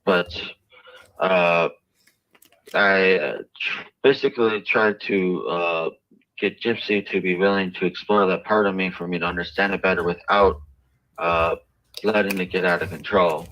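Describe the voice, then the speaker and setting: A man giving a halting personal account as an inmate in an interview, stumbling and searching for words. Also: low voice. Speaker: jail inmate being interviewed by a reporter. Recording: muffled, lo-fi jail interview recording.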